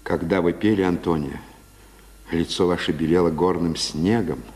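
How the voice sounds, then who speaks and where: An actor playing a proud, brooding Italian violinist with theatrical, poetic delivery in a 1950s Soviet radio drama. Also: male voice, low voice, steady tone, normal speed, a little mellow, lightly acted. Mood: tender, intense.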